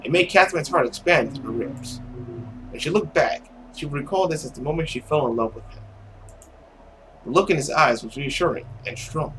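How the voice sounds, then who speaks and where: A man with a lively, widely swinging mid-pitched voice hosts a visual novel playthrough. He reads dramatically and adds his own commentary, with faint noise in the background. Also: low-quality recording. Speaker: let's player and narrator.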